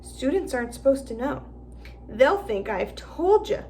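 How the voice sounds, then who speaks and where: Gruff secretive giant gamekeeper, read by a woman, clipped and rough, highish voice. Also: very animated, measured pace, a little mellow, a little hiss or hum, heavily acted. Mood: hushed urgent.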